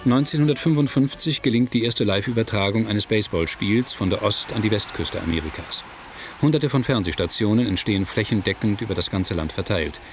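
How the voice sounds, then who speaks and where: A man narrating a scripted documentary in a measured, factual manner. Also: on the low side, slight background noise. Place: studio voice-over, music behind.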